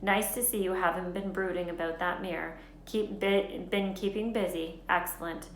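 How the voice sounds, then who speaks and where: Woman reading a gentle elderly wizard headmaster. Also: fairly low pitch, steady tone, normal speed, close to an everyday voice. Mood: kindly. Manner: quiet, gentle, warm praise. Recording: roomy echo, faint background hiss.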